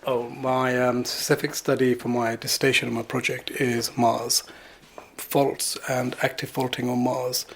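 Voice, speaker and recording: A middle-aged man from Bangladesh, interviewed at home, talking in a relaxed, chatty way about his studies and family. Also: mid-range voice, unhurried, vocal fry, slightly rough. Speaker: interviewee, planetary science student. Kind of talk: casual interview.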